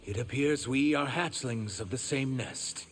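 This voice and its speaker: An actor playing an earnest, dutiful shapeshifting reptilian emissary who carries grief, in video game dialogue with noisy sound. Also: male voice, medium pitch, a little slow, lightly acted. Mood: grieving, solemn.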